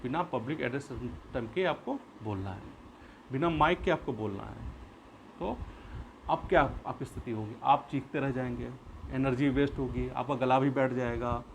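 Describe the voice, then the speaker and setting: A man's voice: medium pitch, normal speed, rough recording. A man teaching a lesson in an explanatory way, repeating points and answering his own questions. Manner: repeats and rephrases points, poses questions then answers them. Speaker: school teacher. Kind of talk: explanatory classroom-style lesson. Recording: clear background noise.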